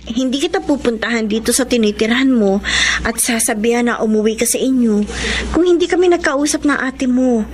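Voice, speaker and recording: Actress as an earnest, high-voiced young female friend. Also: fairly quick, darkish tone, lightly acted. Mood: reassuring. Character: Ophel, earnest, caring, guilt-ridden young female friend. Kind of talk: Tagalog radio drama acting. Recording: music playing under the voice.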